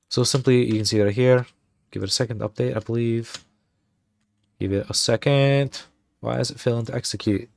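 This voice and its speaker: A man's voice: mid-range voice, dry recording, clean studio sound. A man livestreaming a game build, talking casually and thinking aloud as he types commands, with a put-on Irish accent. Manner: thinks aloud, muttering commands while typing. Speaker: streamer.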